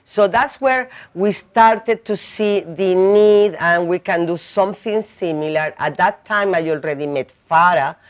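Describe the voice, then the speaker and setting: Venezuelan woman, lowish voice, chatty interview guest, English. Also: measured pace, few pauses. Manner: chatty, warm laughs, frequent fillers and restarts. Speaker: guest business owner. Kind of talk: interview conversation.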